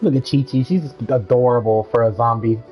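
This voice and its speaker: A man's voice: mid-range voice, brisk, lo-fi sound, faint music behind. A man streaming a game, reacting casually and laughing with blunt, crude remarks. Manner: amused, swears freely, laughs.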